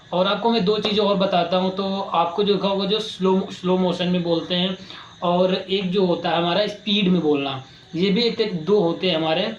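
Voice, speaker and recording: A man's voice: high-pitched, slight background noise. A man giving a casual, rambling explainer lesson for his channel, repeating himself and restarting often. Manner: rambling, repetitive, many restarts. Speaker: YouTube creator teaching voice skills. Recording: roomy, slightly dull with faint ambience.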